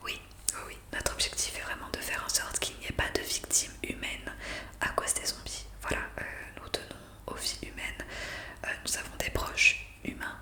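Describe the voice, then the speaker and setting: Whispering woman, gentle ASMR roleplay. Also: moderate pace. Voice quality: breathy unvoiced whisper. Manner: gentle, hesitant explaining with frequent euh. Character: friendly young woman zombie welcoming a newcomer. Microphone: close studio condenser microphone.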